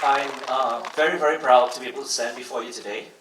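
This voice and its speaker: Malaysian dude with a boston-ish accent presenting on stage, relaxed and confident, echoey and far from the mic. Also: male voice, medium pitch, quick pace, a loud crowd, very noisy. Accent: Boston-tinged American. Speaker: music mix engineer presenting at a launch event. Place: large event hall.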